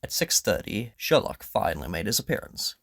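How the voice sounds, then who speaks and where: A man's voice: on the low side, very animated, fairly quick, gravelly, a bit bright, breathy edge, no echo, studio quality. A man reading scripted story narration as the narrator of a drama.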